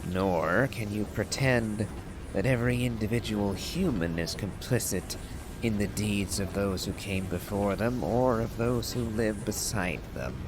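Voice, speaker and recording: A man's voice: fairly low pitch, a little slow, background noise. A man giving casual commentary on a game as he reads its story text aloud. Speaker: gameplay streamer reading game text aloud.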